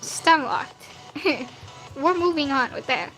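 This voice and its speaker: Bright, high, youthful young woman's voice. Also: big pitch swings, slow pace, faint music behind, poor audio. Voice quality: youthful, sounds younger than her age. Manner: slangy, rambling, self-deprecating. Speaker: streamer drawing fan art live. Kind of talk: casual livestream chat while drawing.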